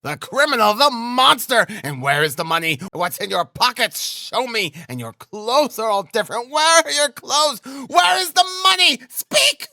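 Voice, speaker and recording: A man's voice: very high voice, expressive, a little slow, few pauses, pushed and bright, no echo, voice pushed up, exaggerated voice. A man voicing a frenzied, despairing poor wife in an audiobook, shrieking and accusing frantically. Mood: frenzied accusation. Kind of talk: audiobook character acting.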